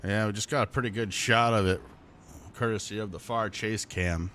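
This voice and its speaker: A man's voice: normal speed, a little hiss or hum. A man with a Vermont accent doing lap-by-lap commentary on a simulated stock car race, his voice jumping up sharply when a wreck unfolds. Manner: excited pitch jumps when calling wrecks. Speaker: play-by-play commentator. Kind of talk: sim racing commentary.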